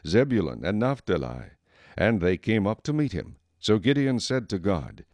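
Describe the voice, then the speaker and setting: A man narrating scripture from a script in a measured, formal manner. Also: lowish voice, very animated, darkish tone, no room sound.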